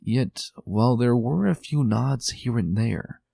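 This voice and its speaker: A man narrating a dramatic reading of a fan story in a measured, steady storytelling manner. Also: mid-range voice, vocal fry, husky, no echo, clean studio sound.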